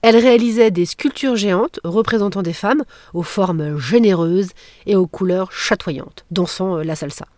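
A woman's voice: medium pitch, normal speed, darkish tone, dry recording, clean studio sound. Playful woman hosting an arts podcast. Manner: playful, jokey asides. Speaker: podcast host. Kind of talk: scripted podcast narration.

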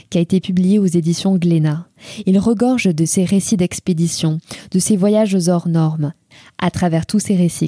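Mellow woman podcast host, close and dry. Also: fairly low pitch, no room sound. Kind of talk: scripted podcast introduction and interview.

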